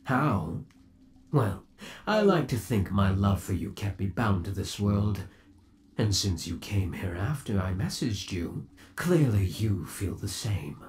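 A man performs as a menacingly doting undead boyfriend in an ASMR horror roleplay. His low voice moves slowly, sweet and possessive, with theatrical swings up and down in pitch. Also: sing-song, a little hiss or hum. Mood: eerily calm. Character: undead yandere boyfriend trapped in springlock suit, menacingly doting. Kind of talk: ASMR roleplay, scripted monologue to the listener.

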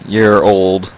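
A man's voice: low voice, a little hiss or hum, poor audio. A teenage boy chatting casually while playing a game, goofy and teasing, laughing often. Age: teens. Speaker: gamer recording gameplay. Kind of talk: casual gameplay chat.

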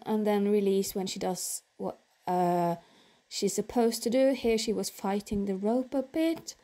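Young woman, casual voiceover, unhurried and soft-toned, lots of ums. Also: medium pitch. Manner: relaxed, halting, many ums and restarts. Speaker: horse vlogger. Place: indoors, quiet room, recorded apart from the pictured arena.

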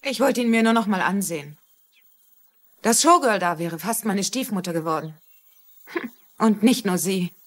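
An actress playing a sad, guarded young daughter in a dubbed TV crime drama, with a husky voice. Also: female voice, mid-range voice, expressive, normal speed, long pauses, lightly acted. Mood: subdued, wistful.

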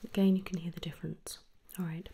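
A woman doing an ASMR role-play of a medical check-up, speaking softly and often in a whisper with gentle, reassuring instructions. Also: lowish voice, little pitch movement, fast, gravelly, a little hiss or hum. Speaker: ASMR performer role-playing a medical exam.

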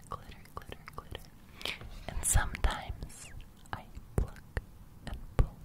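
A young woman playing a gentle sleep fairy in a whispered ASMR roleplay, murmuring breathy, repetitive reassurances close to the microphone. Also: natural voice. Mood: soothing. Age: young adult. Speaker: ASMR creator. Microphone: close studio microphone.

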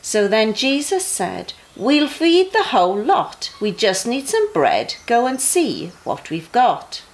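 A middle-aged woman reads children's Bible stories aloud in an expressive storytelling manner, with emphatic phrasing for young listeners. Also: on the high side, takes it slowly, low-quality recording. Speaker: bedtime story reader for a junior church.

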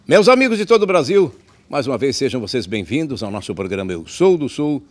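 A man's voice: mid-range voice, big pitch swings, brisk, over music. A man, hosting a TV program in a declamatory announcer style from a wooden stage at an outdoor festival camp. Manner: declamatory announcer delivery, rising to big exclamations. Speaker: TV show host. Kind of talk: enthusiastic TV presenting.

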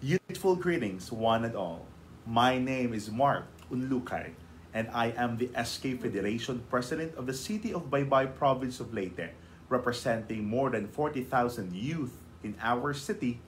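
A man's voice: mid-range voice, lively intonation, moderate pace, slight background noise. A young man with a Filipino accent giving a formal recorded video greeting as a youth federation president, speaking from a curtained room. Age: young adult. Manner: formal, speech-like delivery.

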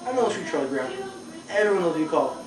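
Teen boy as dry deadpan friend, far mic. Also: a little high, fast talker, a crowd in the background. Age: teenager. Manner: deadpan and flat. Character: dry, matter-of-fact boy best friend. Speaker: student actor. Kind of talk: amateur school film acting. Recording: distant camera mic with background noise.

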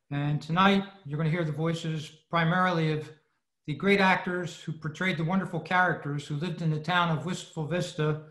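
A man's voice: on the high side, fairly bright tone. An older man giving an informal prepared presentation over a video call from indoors. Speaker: presenter at a club meeting. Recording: roomy, echoing video call audio.

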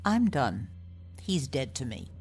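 A woman's voice: extremely low pitch, big pitch swings, takes it slowly, loud music behind the voice, heavily acted. A woman voicing an imperious older mother, exasperated and scolding her son.

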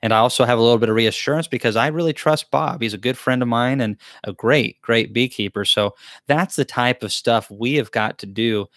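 A man's voice: medium pitch, brisk, fairly bright tone, no room sound, clean studio sound. A man, hosting a live beekeeping Q&A from a small wood-paneled room, rambling and chatty with frequent restarts. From Tennessee, US. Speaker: beekeeping channel host. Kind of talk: live Q&A chat. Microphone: desk mic close to camera.

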